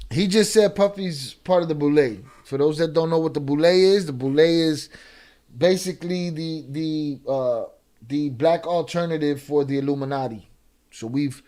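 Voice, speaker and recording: Animated man doing live reaction commentary. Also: fairly high pitch. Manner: animated, sarcastic, drawn-out restarts. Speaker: reaction streamer.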